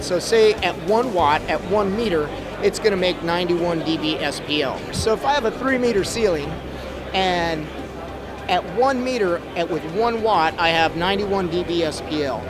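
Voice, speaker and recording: A middle-aged man giving a casual, step-by-step technical explanation as an expert guest in an interview. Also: high voice. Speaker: expert guest, audio trainer. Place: busy trade show floor. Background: music.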